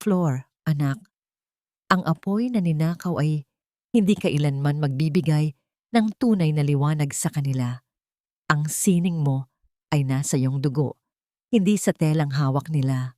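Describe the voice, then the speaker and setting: A woman's voice: low-pitched, a little slow, long pauses, a little mellow, dry recording, clean studio sound. A woman with a Filipino accent voicing a wise, comforting provincial grandmother with a solemn manner in an audiobook. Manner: solemn, consoling.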